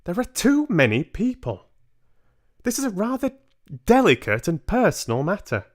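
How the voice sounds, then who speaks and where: Man putting on a high-voiced, careful old grandmother. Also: wildly swooping pitch, moderate pace, exaggerated voice. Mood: bristling, firm. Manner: delicate, careful, insistent. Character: polite but firm elderly grandmother.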